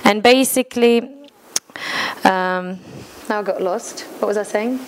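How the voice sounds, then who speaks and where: A woman with a Czech accent, speaking English as a second language, addresses a church congregation. Her English is earnest and a little broken. She chuckles at her own mistakes and speaks at a middle pitch. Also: measured pace, slight background noise, poor audio. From Czech Republic.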